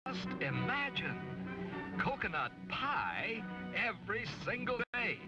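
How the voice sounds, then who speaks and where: High, theatrical old-cartoon adventure narrator. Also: male voice, sing-song, dull audio, heavily acted. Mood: dramatic storytelling. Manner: theatrical, deliberate. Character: dramatic male storyteller of a children's space adventure cartoon. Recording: old cartoon soundtrack, loud music.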